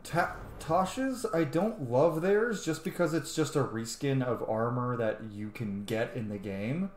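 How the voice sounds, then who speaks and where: A man's voice: mid-range voice, lively intonation, unhurried. Roomy-sounding man doing casual game commentary. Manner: gushing, sweary, rambling. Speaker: gaming streamer playing and commenting. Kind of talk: casual let's-play commentary. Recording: roomy echo with faint background noise.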